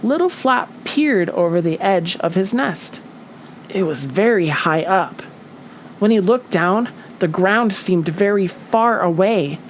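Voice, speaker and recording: Slow, expressive woman reading to kids. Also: medium pitch, a little hiss or hum, poor audio. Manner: deliberate, instructional, expressive. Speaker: teacher. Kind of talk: children's story read-aloud lesson.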